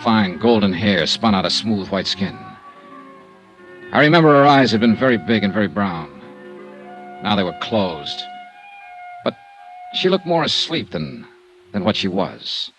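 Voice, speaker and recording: Hard-boiled American investigator, low voice, very animated intonation, sardonic, brooding, old radio drama. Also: male voice, a little slow, pauses often, faint music behind, poor audio. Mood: brooding narration. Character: wry, hard-boiled male insurance investigator narrating his case. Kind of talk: 1950s radio drama acting and first-person narration.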